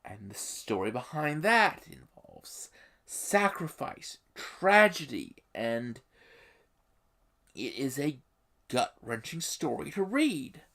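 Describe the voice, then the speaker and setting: A YouTube host giving explanatory commentary on a game setting, speaking emphatically and haltingly, with pauses. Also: medium pitch, big pitch swings, fairly bright tone.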